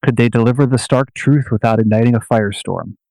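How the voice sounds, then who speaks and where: A man delivers scripted dramatic narration for a radio play. His voice sits in a middle register and he tells the story in measured, portentous phrases, recorded dry. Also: medium pitch, steady tone, gravelly, darkish tone, no echo, rough recording.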